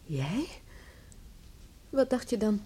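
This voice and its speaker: A woman's voice: mid-range voice, very animated, slow, mellow. An actress playing an anxious, tender-hearted young village woman in a radio play, with an emotional, pleading manner. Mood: worried, hushed. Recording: faint noise and clicks, old radio recording.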